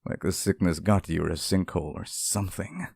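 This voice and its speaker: A man's voice: low voice, brisk, creaky, darkish tone, slightly rough, dry recording, studio quality, heavily acted. A man playing a sweet, clingy, centuries-old sealed demon in an intimate ASMR roleplay, speaking tenderly and hesitantly to one listener. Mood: anxious, fond.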